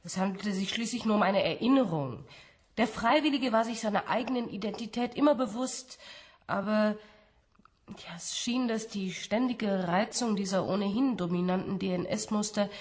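A woman acting a cautious, evasive university researcher in a radio drama, speaking in a careful, hesitant, measured way. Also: mid-range voice, close to an everyday voice. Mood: careful, explaining. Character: cautious female scientist, evasive, uneasy researcher.

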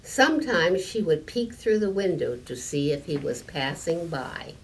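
An elderly woman reads a picture book aloud to children in a deliberate, slightly halting manner, adding small explanations. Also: low-pitched, very animated. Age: 70s or older. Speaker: story time reader. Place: library room with stone fireplace, indoors. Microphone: camera a few meters away. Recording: distant mic, room noise.

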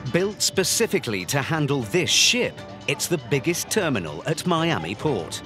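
A man's voice: on the high side, sing-song, normal speed. A man delivering scripted narration for a documentary. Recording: music under the voice. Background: background music.

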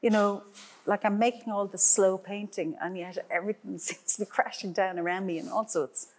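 A woman's voice: mid-range voice, fairly even intonation, fairly quick, rough recording. A middle-aged woman, a painter, chatting in a gallery, rambling with many restarts and fillers. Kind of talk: casual conversation.

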